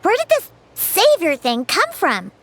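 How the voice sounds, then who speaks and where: A woman voicing a tiny, chatty, cheeky fairy companion in a video game, with a squeaky childlike voice and cutesy, exaggerated delivery. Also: wildly swooping pitch, unhurried, full character voice. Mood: curious, puzzled. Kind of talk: animated game voice acting.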